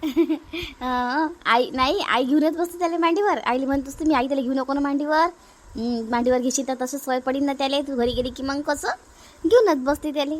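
A woman's voice: high voice, steady tone, fairly quick, natural voice. A woman voicing a compliant, chatty young mother in a family cartoon, explaining things in a Varhadi Marathi accent. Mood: mildly complaining.

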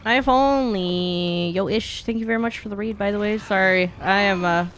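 A woman's voice: mid-range voice, moderate pace, slight background noise. A young adult woman giving casual, blunt, sweary live-stream commentary while speedrunning a game. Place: small indoor streaming room at home.

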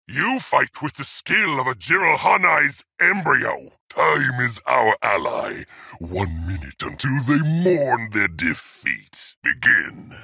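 A menacing rogue warlord AI from a video game, with a very husky, rasping voice. The delivery is slow and grandiose, with huge swings in pitch. It sounds commanding and theatrical throughout. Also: male voice, sing-song, pushed and bright, no room sound, clean studio sound, full character voice. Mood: grand, scheming. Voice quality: rough, gravelly rasp. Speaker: video game announcer character.

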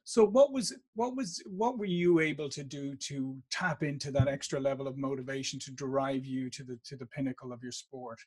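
A man's voice: mid-range voice, steady tone, moderate pace, hardly any pauses, a little mellow, husky. A middle-aged man hosting an interview over a video call on a studio mic, upbeat and asking long questions with restarts. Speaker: interview host. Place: home office in front of a branded backdrop.

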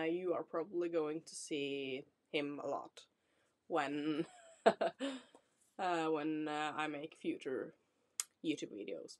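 Norwegian woman, 20s, Norwegian accent, low and slow, casual vlog chat. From Norway. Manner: chatty, apologetic, reassuring, laughs often. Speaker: vlogger. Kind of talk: casual self-introduction to camera. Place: small decorated room indoors.

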